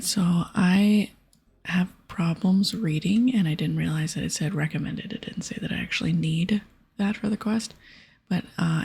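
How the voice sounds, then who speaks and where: A woman doing a whispered ASMR game playthrough, casually soft-spoken and breathy as she reads aloud and comments. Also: fairly low pitch. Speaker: ASMR gaming streamer. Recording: soft music behind. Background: soft music.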